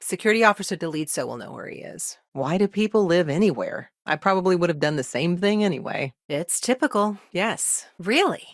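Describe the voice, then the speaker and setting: A woman playing an earnest, overworked lawyer in a video game, speaking in a measured, matter-of-fact, conversational way with dry wit. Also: fairly low pitch, expressive, no echo. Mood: weary, wry. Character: earnest, overworked female frontier lawyer, dry-witted and principled. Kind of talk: naturalistic video game dialogue acting.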